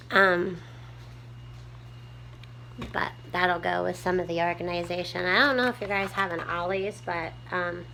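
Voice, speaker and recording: A woman with a small, soft voice, chatting casually to her viewers from home with lots of ums while she tidies up. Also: fairly low pitch, slight background noise, rough recording. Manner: rambling, many ums, homespun talk. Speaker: prepping vlogger. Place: home room, tidying a table.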